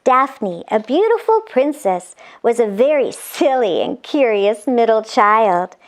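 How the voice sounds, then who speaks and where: A woman narrating a children's book in an expressive read-aloud, with playful exclaimed asides to young listeners. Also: a little high, takes it slowly.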